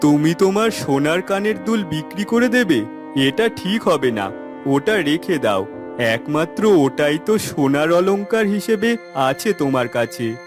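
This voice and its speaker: Cartoon village husband, male actor: lively swings in pitch, earnest, music behind. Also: unhurried. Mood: concerned. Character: worried but supportive poor village husband.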